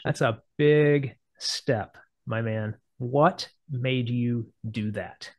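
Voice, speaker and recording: A man's voice: medium pitch, very slow, a little mellow, dry recording. A man in his forties hosting a casual interview over a video call, who talks with enthusiastic, affirming energy. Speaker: interviewer host. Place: home office with bookshelves. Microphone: earphone mic on a video call. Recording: dry video call audio.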